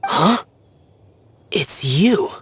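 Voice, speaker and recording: A man's voice: fairly high pitch, animated, very slow, a bit bright, a little hiss or hum, heavily acted. A man voicing a cold, solemn young immortal guardian in a video game, speaking tersely and formally.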